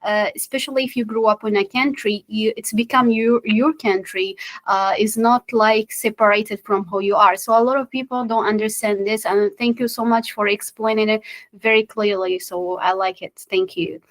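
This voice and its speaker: A woman hosts a relaxed podcast chat in English. Her voice sits at an ordinary mid pitch for a woman, and she speaks haltingly, pausing as she reaches for the right words. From Morocco. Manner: hesitant, searching for words. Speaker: podcast host. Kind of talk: casual interview chat.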